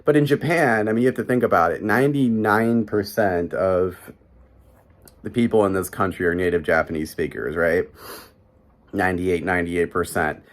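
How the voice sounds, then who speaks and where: A man's voice: sits low, normal speed. A young man from Chicago with a Midwestern American accent, talking casually to a close camera in a small bedroom and rambling with lots of fillers. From Chicago, USA. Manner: rambling, heavy "like" and "you know" fillers. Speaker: vlogger. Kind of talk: casual talking-head monologue. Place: small bedroom in an apartment, indoors.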